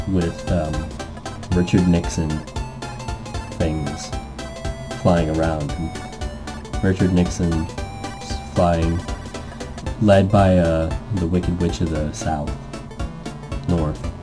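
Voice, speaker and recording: A man hosting a let's-play, reading a visual novel aloud and adding playful, goofy improvised asides in a casual gaming commentary style. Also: low voice.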